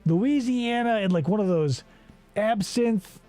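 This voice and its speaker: A man's voice: sits high, slow pace, mellow, soft background music. A man hosting a casual comedy game show, rambling and sarcastic with profane asides.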